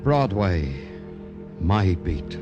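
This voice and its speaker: An actor playing a world-weary police detective in a 1950s radio noir drama, speaking in terse, sardonic and poetic lines on an old radio recording. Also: male voice, sits low, big pitch swings, extremely slow, muffled recording, exaggerated voice. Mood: brooding. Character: hard-boiled, world-weary male police detective narrating his case. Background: dramatic music.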